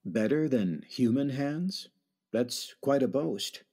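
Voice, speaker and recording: A man playing a doubtful, philosophical doctor in a radio drama, speaking in a measured way. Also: mid-range voice, expressive, dark tone, breathy edge, exaggerated voice. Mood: skeptical.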